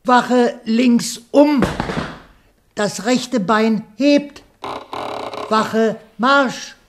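A fussy, obedient palace general, slow and officious in speech, in children's radio play style. The recording has a faint layer of noise. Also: male voice, extremely high pitch, heavily acted. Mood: drilling, commanding. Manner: barked drill commands, deferential hesitant replies.

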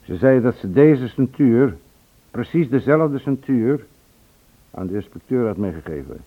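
An actor in a Dutch radio play, playing a respectful, careful and apologetic police sergeant. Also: male voice, mid-range voice, animated, measured pace. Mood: earnest, cautious. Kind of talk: Dutch radio drama acting. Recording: muffled lo-fi old radio recording.

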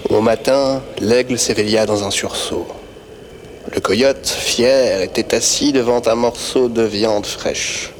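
Slow-spoken Native storyteller played by a man, noisy ambience. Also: lowish voice. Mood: solemn storytelling. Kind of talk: French western audio drama, fable-like storytelling.

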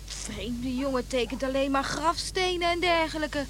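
An inquisitive village woman in a radio play, chatty and matter-of-fact. Her voice is high and sharply bright, with faint ambient noise behind. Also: normal speed. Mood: curious, helpful. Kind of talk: radio drama acting.

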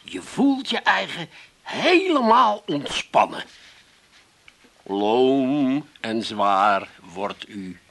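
An actor in a comic radio play, playing an excitable, self-important brother-in-law who fancies himself a hypnotist. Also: male voice, medium pitch, extremely slow, creaky, heavily acted. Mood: droning, soothing. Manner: theatrical, eager.

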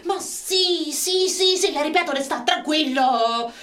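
A woman's voice: high voice, unhurried, fairly bright tone. A woman playing a blunt, streetwise Roman woman in a tragicomic radio play, with a colloquial delivery. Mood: defensive, uneasy. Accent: Roman Italian. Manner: brash, colloquial. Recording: roomy, slightly distant microphone.

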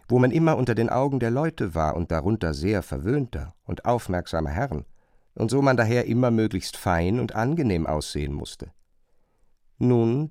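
A man narrating a literary radio play, retelling a chambermaid's grievances in an expressive, emphatic way. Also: fairly low pitch, dry recording, studio quality.

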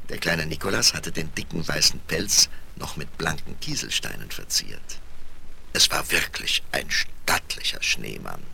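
Knarrender, tiefer Märchenerzähler. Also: male voice, low-pitched, gravelly, pushed and bright, a little hiss or hum. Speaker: fairy-tale narrator. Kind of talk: scripted dramatic storytelling for children.

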